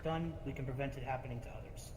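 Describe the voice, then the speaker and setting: A man playing a dutiful, earnest guild adventurer in an online roleplay, speaking formally and deliberately. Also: medium pitch, close to an everyday voice. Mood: resolved. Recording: echoey room, faint noise, voice chat.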